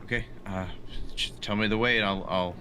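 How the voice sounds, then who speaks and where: Low voiced guy but bright n forceful, hesitant tabletop player, noise in the background. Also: steady tone. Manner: hesitant, halting. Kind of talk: casual in-game chat.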